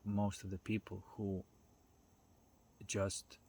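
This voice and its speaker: Guy with a lowish husky voice doing an off the cuff self-help talk, reflective, lots of pauses. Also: completely flat delivery, darkish tone. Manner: halting, reflective, thinking aloud with trailing pauses. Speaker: spiritual self-development speaker. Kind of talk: casual unscripted philosophical talk.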